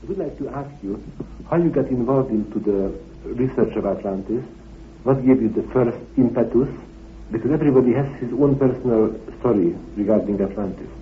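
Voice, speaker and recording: A man's voice: medium pitch, brisk. A man with a mid-range voice puts formal interview questions. The tape is narrow and lo-fi, with a faint hum.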